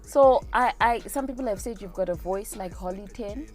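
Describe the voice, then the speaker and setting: A woman's voice: mid-range voice, moderate pace, music in the background. A woman hosting an interview, asking questions in an upbeat, encouraging way. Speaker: interviewer, host.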